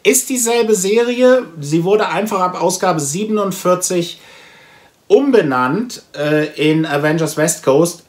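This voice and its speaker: Higher-pitched man, casual vlogger. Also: animated, measured pace. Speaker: comic blog video host. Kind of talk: casual haul vlog talk to camera. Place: small indoor room with shelves of comics.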